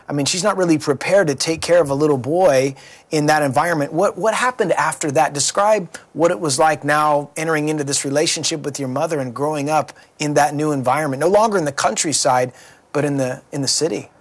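Earnest preacherly male host. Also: a little high. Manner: earnest, preacherly, leading questions. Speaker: pastor, show host. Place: studio.

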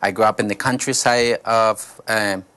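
Halting man, low voice. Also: steady tone, pushed and bright, clean tone. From Colombia. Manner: halting, searching for words with many fillers. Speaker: pastor, guest. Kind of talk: personal testimony interview. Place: studio.

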